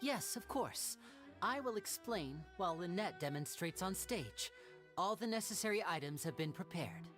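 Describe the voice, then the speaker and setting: A woman's voice: on the low side, lively intonation, normal speed, over music, lightly acted. A woman playing a composed young male stage magician in a video game, polite and self-assured.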